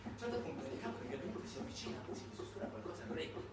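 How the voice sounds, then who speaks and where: A man performing a dramatic storytelling monologue, emphatic and sardonic, with halting pauses. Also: high-pitched, very animated, a loud crowd. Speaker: stage monologue performer and narrator. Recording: echoey room, distant mic, noisy background.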